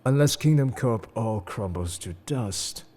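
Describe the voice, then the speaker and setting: A man playing a suave, enigmatic, quietly world-weary secret agent in an intimate, flirtatious audio roleplay, speaking to the listener in a coaxing, theatrical way. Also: mid-range voice, animated, a little slow. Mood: playful, coaxing. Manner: smooth-talking, coaxing, theatrical. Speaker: voice actor in fan audio roleplay.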